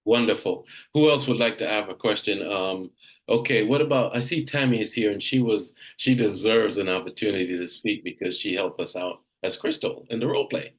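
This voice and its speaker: A man's voice: on the low side, fairly quick. A man from Jamaica leading a live coaching session for real estate agents, direct and emphatic, often repeating himself.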